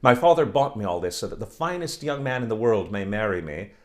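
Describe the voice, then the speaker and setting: A man's voice: lowish voice, animated, brisk, natural voice. A man voicing a vain, teasing young village beauty who admires herself and mocks her suitor. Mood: delighted. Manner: coquettish, haughty, admiring herself.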